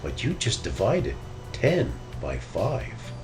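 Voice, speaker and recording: A man's voice: on the low side, huge pitch swings, slow pace, soft background music, full character voice. A man voicing a kindly, wise old grandfather bear with a folksy, teasing manner in a children's storybook. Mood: wry, teasing.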